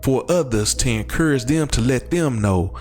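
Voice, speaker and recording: A man's voice: medium pitch, expressive, normal speed, darkish tone, faint music behind. A man with an American accent giving personal testimony as an interview guest, earnest and repeating phrases for emphasis. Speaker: show guest, crash survivor.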